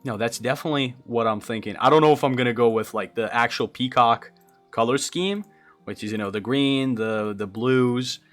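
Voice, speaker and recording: A man's voice: mid-range voice, moderate pace, soft background music. Young man rambling on stream. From Brazil. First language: Portuguese. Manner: rambling, thinks aloud, answers chat, stammers and restarts. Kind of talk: casual livestream chat while building a game creature.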